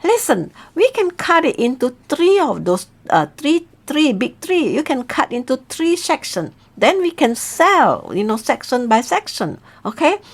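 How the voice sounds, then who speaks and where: A woman playing a poor, good-hearted husband in a folk tale, excitable and insistent. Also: high voice, unhurried, hardly any pauses, heavily acted. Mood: explaining.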